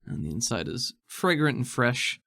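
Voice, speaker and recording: A man's voice: mid-range voice, very animated, moderate pace, vocal fry, bright, forceful tone. A man working as game master, narrating an improvised tabletop game in a descriptive, conversational way.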